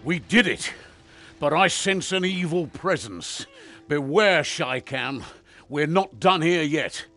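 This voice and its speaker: An actor playing a weary veteran demon hunter in a fantasy game, with a gruff, wary and intense manner. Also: male voice, a little high, animated, slow, sharp tone, faint music behind, heavily acted. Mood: grim. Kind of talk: fantasy video game voice acting.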